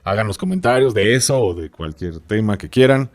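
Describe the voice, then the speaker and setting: A man's voice: on the low side, expressive, a little fast. A man co-hosting a podcast in casual, conversational talk. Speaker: podcast co-host.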